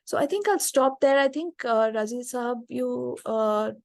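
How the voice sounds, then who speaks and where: A woman from India speaks in a high, gravelly voice that is close and dry. She hesitates often with fillers. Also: normal speed, a little mellow, no room sound. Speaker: invited speaker. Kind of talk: academic talk.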